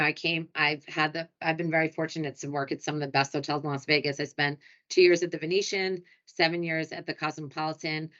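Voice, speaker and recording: A woman's voice: low voice, fairly bright tone. Chatty New York woman on a webinar call. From New York City. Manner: chatty, upbeat, self-deprecating. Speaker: hotel sales director presenting a webinar. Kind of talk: casual webinar presentation. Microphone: computer mic on a video call. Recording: muffled, lo-fi video call audio.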